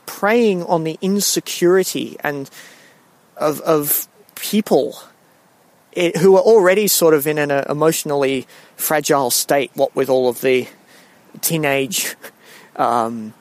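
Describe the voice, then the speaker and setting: A young man, raised in Singapore, giving a casual spoken monologue with a wry, halting manner, full of restarts and ums. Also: a little high, no echo.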